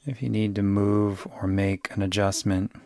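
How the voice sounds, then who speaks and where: A man leading a guided yoga nidra meditation, giving gentle, soothing instructions in an unhurried way. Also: on the low side, little pitch movement, dry recording, studio quality.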